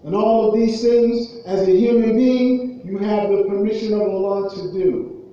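A man with an American accent preaching a sermon in an emphatic way, repeating phrases and pausing between them. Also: high-pitched, steady tone, warm tone. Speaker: preacher giving a Friday khutbah. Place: indoor prayer hall, at a raised pulpit. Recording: muffled, very echoey hall, far from mic.

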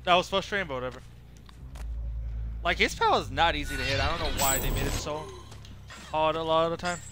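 A man's voice: a little high, a little fast. A man giving casual live commentary as he streams a game, muttering mildly frustrated asides to himself. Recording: clear game noise behind voice. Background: horror game sound effects and ambience.

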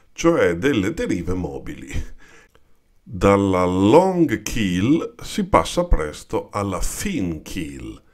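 Deep, slow-talking Italian man explaining. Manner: unhurried and explanatory, addresses viewers with "vedete". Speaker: yacht designer explaining boat history. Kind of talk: informal explainer voice-over over pictures.

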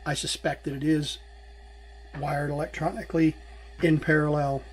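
Man narrating repairs over clear background noise. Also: on the high side, little pitch movement. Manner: unhurried, thinking aloud. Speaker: electronics repair hobbyist and host. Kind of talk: casual hands-on tutorial narration while working. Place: small indoor workbench.

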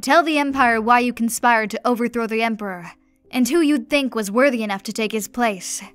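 A woman voicing a scornful vampire duchess in an audiobook, giving orders loudly. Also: a little high, fairly quick, faint music behind, close to an everyday voice. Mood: commanding. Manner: loud, commanding. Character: scornful vampire duchess betraying her mate.

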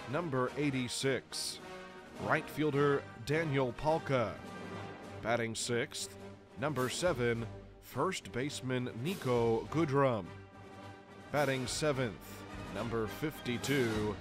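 A man's voice: mid-range voice, takes it slowly. A man in his thirties with an American accent, announcing as a baseball public address announcer and stretching out names in a showman's delivery. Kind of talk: scripted sports PA announcing. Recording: music behind the announcements. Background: music.

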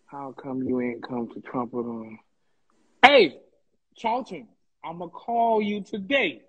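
A man's voice: medium pitch, slow, slightly rough, highs cut off. A young American man chatting casually on a livestream into a phone held close, effusive and colloquial, often repeating himself to hype others up. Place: indoor room, live video stream.

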